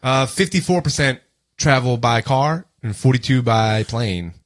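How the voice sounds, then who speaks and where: A man with a mid-pitched voice hosts a casual podcast. He talks in an informal, conversational way, moving between facts and loose chat with friends. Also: a little slow. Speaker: podcast host.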